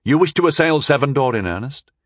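Defiant knight-mage hero, read by a male narrator for fantasy audiobook. Cutting, lecturing, very animated, dry sound. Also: medium pitch, a little slow, dry recording. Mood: defiant challenge.

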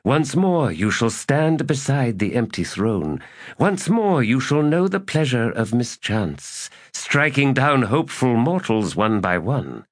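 A man voicing a bitter, ambitious one-eyed male elder god who speaks in a forceful, scornful and commanding way. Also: mid-range voice, sing-song, slow, pitched above the performer's own voice. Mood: scheming. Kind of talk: audiobook character reading.

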